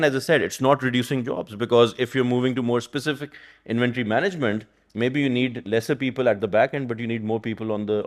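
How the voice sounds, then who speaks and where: A man from Pakistan, an economist speaking on a conference panel in a thoughtful, rambling way, often asking "right?". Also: medium pitch. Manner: thoughtful, rambling, frequent "you know" and "right?". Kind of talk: panel discussion. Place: conference stage.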